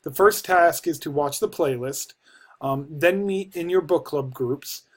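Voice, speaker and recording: An American man in his forties with a slightly high voice talks as a university instructor. He gives an informal course overview, pausing to think as he explains. It is recorded on a computer microphone in a small office. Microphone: computer microphone at desk distance.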